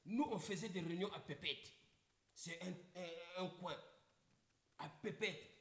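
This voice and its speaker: A man's voice: on the high side, a bit bright. A middle-aged man from the Republic of the Congo with a Congolese French accent, speaking emphatically on a live stream, often repeating his points and asking the listener questions. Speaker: livestream guest. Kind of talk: impassioned live talk. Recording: echoey room.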